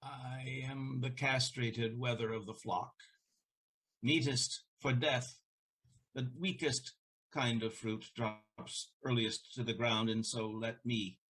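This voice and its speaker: A man acting a resigned, melancholy elderly merchant in a Shakespeare audio play, grave and deliberate. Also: medium pitch, fairly even intonation, fairly bright tone, slightly rough, low-quality recording.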